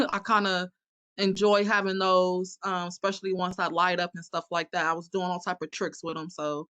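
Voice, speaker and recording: Relaxed ohio woman, bright sharp voice, dry sound. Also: on the low side, normal speed, dry recording. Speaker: student. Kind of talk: class introduction.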